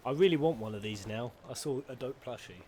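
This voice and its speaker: A man's voice: mid-range voice, animated, fairly quick, background noise. A man giving casual, slangy reaction commentary on game cutscenes, with playful and crude joking. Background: video game soundtrack.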